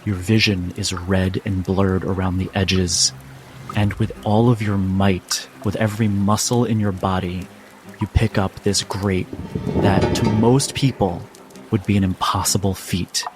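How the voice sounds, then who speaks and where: A man as game master, narrating a tabletop game dramatically in deliberate, broken phrases. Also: deep voice, level tone, background noise. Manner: deliberate, short phrases with pauses. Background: music and rain sounds.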